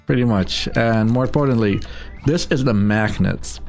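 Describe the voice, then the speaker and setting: Joking male gamer commentating, soft music behind. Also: medium pitch, moderate pace, gravelly. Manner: joking, tongue-in-cheek. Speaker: speedrunner commentating at a marathon. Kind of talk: casual live game commentary.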